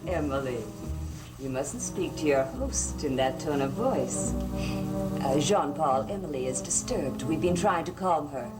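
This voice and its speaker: A woman's voice: low-pitched, animated, unhurried. An actress playing a scheming, silkily motherly middle-aged witch in a gothic soap opera, coaxing and theatrical. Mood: smoothly concerned. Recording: distant old TV audio under loud music.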